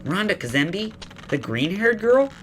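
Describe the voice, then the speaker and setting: Woman acting calm clever boy, lively intonation, matter-of-fact, family audio drama, very echoey. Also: medium pitch, a little slow, slight background noise. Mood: curious, thoughtful. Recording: very echoey, distant, faint music.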